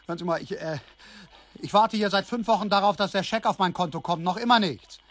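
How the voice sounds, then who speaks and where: An actor in a radio drama playing a gullible, stubborn husband who talks in an indignant, stammering way. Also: male voice, sits high, brisk, a bit bright. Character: agitated, gullible, stubborn husband caught in a money scam. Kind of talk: naturalistic radio drama acting.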